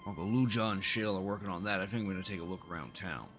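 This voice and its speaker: Male streamer, deep and forceful, casually narrating on-screen game dialogue, soft music underneath. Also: very fast. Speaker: livestreamer playing a game. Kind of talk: reading game dialogue aloud with casual asides. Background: video game music.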